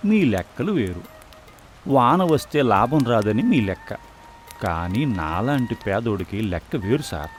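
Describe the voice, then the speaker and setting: A man plays a humble village food seller in a moral tale. He speaks in an earnest, reassuring way with lively swings of pitch in a mid-range voice, over faint music. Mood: respectful, assured. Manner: reassuring, earnest, proverb-laden. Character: poor, confident, philosophical food stall husband. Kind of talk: dramatized moral story voice acting.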